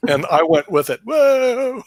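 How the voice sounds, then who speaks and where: A man's voice: high-pitched, sing-song, slow, dry recording, studio quality. A man hosting a casual video call, talking in a rambling way with many fillers, restarts and laughs. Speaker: host.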